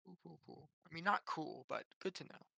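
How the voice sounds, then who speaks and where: A man's voice: on the high side, animated, a little fast, vocal fry, pushed and bright, dry recording. A man chatting casually as a player at a tabletop game.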